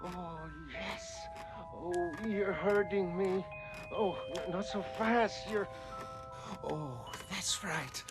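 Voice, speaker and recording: A man's voice: high-pitched, drawn-out words, music in the background, voice pushed up. A man voicing a harsh, needy woman, a prostitute and mother, in a motion comic drama, pleading and scolding. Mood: moaning.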